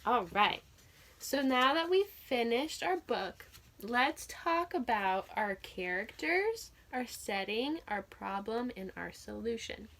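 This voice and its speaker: A woman's voice: a little high, lively intonation, slow pace, vocal fry, fairly bright tone. A woman teaching young children through a read-aloud, telling the story expressively and putting simple, encouraging questions to her listeners.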